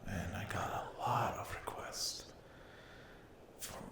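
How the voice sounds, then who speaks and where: A man speaking in a hushed, halting whisper as an ASMR host addressing his viewers. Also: low voice, roomy sound, heavy background noise. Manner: hushed, halting delivery with long pauses.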